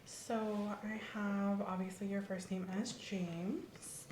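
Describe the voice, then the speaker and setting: A woman's voice: mid-range voice, steady tone, slow pace, lightly acted. A woman playing a courteous, reassuring doctor in an ASMR medical roleplay, asking methodical questions in a polite, professional way. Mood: calm, attentive. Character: courteous, reassuring female doctor doing a checkup. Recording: roomy sound with faint background noise.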